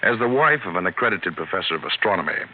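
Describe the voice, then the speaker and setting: A man's voice: deep voice, sing-song, fast. An actor playing an affectionate, teasing male astronomy professor in a vintage radio drama, mock-pompous and playful. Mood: teasing, romantic. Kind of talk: 1970s radio drama acting. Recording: tinny old radio broadcast with hiss.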